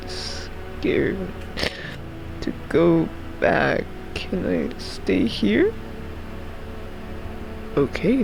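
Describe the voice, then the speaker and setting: A man's voice: fairly high pitch, gravelly, full character voice, rough recording. A man voicing a nervous, childish, unsettling misfit from a game, with a jittery, giggling manner. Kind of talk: amateur game character voicing. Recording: music under the voice.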